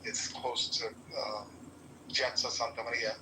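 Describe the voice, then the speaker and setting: A man grown up partly in Italy, chatting casually and haltingly with many fillers on a group call. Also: mid-range voice, flat delivery, background noise. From Italy and the United States. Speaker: call participant.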